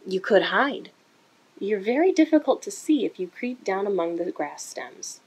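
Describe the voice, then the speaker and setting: A woman reading a children's picture book aloud in an expressive, deliberate way for young listeners, recorded indoors. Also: mid-range voice, husky, low-quality recording. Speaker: children's story-time reader for a museum. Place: indoor room.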